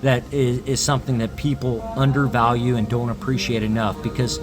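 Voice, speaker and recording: A man's voice: medium pitch, little pitch movement, a little fast, over music. A man speaking as a sports talk guest, giving his analysis in casual conversation.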